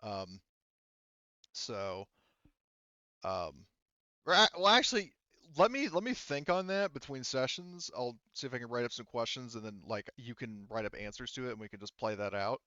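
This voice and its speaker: Man, game master, smooth clear voice, casual halting narration, dry sound. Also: mid-range voice, expressive, bright, forceful tone, no echo, lo-fi sound. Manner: halting, informal, talks around points. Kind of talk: casual tabletop roleplay narration.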